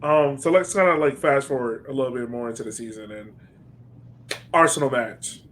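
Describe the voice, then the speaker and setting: A man's voice: medium pitch, normal speed. A man hosting a casual sports podcast chat, putting questions to his guests in informal speech. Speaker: podcast host.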